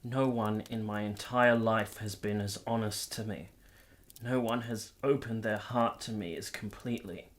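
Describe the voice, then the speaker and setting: An actor playing a lovestruck, conflicted nineteenth-century man in a radio drama, speaking in earnest, measured, literary phrases. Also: lowish voice, steady tone, close to an everyday voice. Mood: tender, hushed. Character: Giorgio, lovestruck, conflicted 19th-century Italian man, confessional narrator. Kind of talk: radio drama narration and intimate dialogue.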